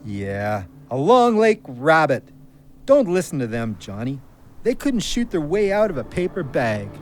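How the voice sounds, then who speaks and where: A man's voice: fairly high pitch, very animated, unhurried, a little mellow. A man playing a joking, loyal hunting buddy who grows wary, in an audio drama. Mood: teasing. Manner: teasing, colloquial.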